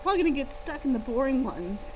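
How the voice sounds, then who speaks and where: A woman's voice: high voice, big pitch swings, quick pace. A woman reading a story aloud, voicing a melodramatic, incredulous and exasperated teenage girl student. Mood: annoyed. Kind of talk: dramatic story read-aloud. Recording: clear background hum and noise.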